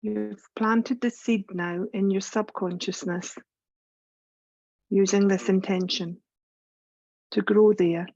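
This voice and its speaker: A woman leading a guided meditation as a yoga teacher, speaking in a soothing, unhurried, instructive way. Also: medium pitch, fairly even intonation, a little mellow, dry recording, highs cut off. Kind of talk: guided meditation, scripted narration.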